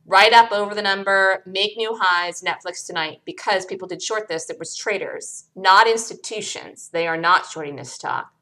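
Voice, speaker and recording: A woman's voice: medium pitch, normal speed, few pauses, pushed and bright, poor audio. A woman analyst commenting on a chart, speaking emphatically and repeating words for stress. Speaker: host, stock trader and analyst. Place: indoors, screen-recorded voice-over.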